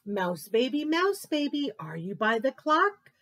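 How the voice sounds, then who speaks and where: A woman's voice: high-pitched, sing-song, unhurried, a bit bright, exaggerated voice. A woman voicing a playful father mouse who calls for his hiding child in a sing-song rhyming chant.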